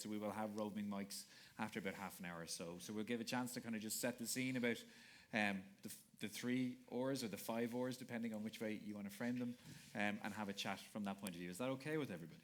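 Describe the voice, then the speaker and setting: Fast-talking, joking male moderator. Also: fairly low pitch. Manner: joking, rambling with many fillers and restarts. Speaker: panel moderator. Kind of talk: live panel hosting. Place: event hall with audience.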